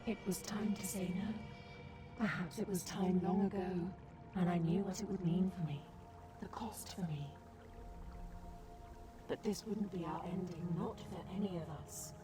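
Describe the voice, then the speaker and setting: Game narrator: actress as secretive, caring woman, measured and grave, on the lower side, under prominent music. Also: steady tone, lightly acted. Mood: resolute. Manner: measured, solemn storytelling. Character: woman narrator Gale, secretive, tender, solemn guardian recounting tragedy. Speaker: story narrator. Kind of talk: scripted dramatic narration.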